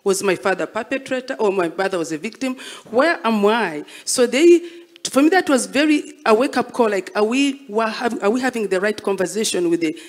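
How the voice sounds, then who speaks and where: A woman's voice: medium pitch, expressive, a little fast. A woman speaking reflectively in an interview as an artist, telling stories and repeating phrases for emphasis. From Rwandan, born and raised as a refugee abroad. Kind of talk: reflective spoken interview, storytelling.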